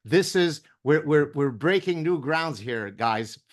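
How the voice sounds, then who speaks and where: Man, Lebanese accent, slightly higher voice, joking host, casual chat. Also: animated, slow pace. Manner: jovial, joking, switches between English and Arabic. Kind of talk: casual interview conversation.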